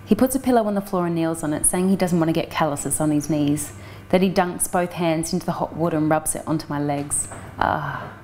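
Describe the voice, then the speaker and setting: A woman's voice: low voice, fairly quick, a little mellow, slight background noise. A young adult woman giving a frank, matter-of-fact first-person reading of a novel. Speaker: audiobook reader.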